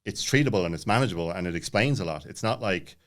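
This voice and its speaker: A man in his late thirties with a low-pitched voice tells a casual personal story. He talks very quickly, looping back and jumping around as he goes, with plenty of filler words. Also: lively intonation. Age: late 30s. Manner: rambling, jumps around, many filler words. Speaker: podcast guest.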